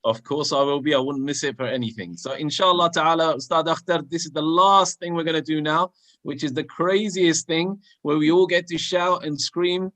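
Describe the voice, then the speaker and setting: A man's voice: a little high, steady tone, moderate pace, a bit bright. A man presenting a live children's religious programme over a video call, speaking in an encouraging, exclamatory way. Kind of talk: live online hosting and motivational talk.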